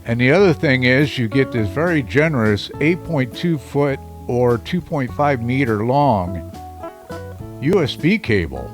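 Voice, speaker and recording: Chatty deep-voiced man reviewing a mic. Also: lively intonation, a little slow, faint music behind. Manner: chatty, loose, with frequent fillers. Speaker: microphone reviewer. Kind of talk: casual product review to camera. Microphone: studio microphone under test.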